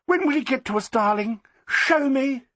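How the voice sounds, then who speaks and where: A male reader voicing a shrill, gushing society wife. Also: expressive, normal speed, fairly bright tone, no echo.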